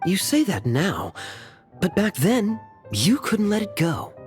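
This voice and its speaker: A man playing a sly, teasing young captain in an anime video game, smooth-talking and playful. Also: sits high, wildly swooping pitch, takes it slowly, faint music behind, exaggerated voice.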